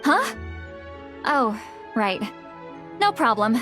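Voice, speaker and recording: A woman's voice: a little high, very animated, drawn-out words, heavily acted. A woman voicing a cocky, laid-back, easily irked female tracker in a video game, casual and wry. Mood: casual confidence. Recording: music behind the voice.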